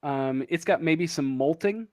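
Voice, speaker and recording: A man with a fairly high voice runs a tabletop game, improvising descriptions. He speaks slowly and haltingly, searching for the next word. The sound is close and dry. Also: darkish tone, no echo. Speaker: game master.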